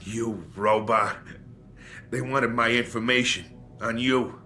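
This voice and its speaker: A bitter, foul-mouthed investigator who is badly wounded. His voice is sharp and strained, at a middle pitch, and he halts and repeats himself through the pain. It is video game drama with a faint rumble underneath. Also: steady tone. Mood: weakened, urgent. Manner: halting, sardonic, repeating himself. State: in pain, slurring and faltering.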